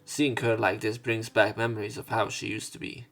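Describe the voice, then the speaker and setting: A man's voice: on the low side, level tone, normal speed, fairly bright tone. Casual man narrating a game, music behind. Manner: informal, sweary asides. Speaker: let's play host reading the game aloud. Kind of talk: visual novel narration with casual commentary.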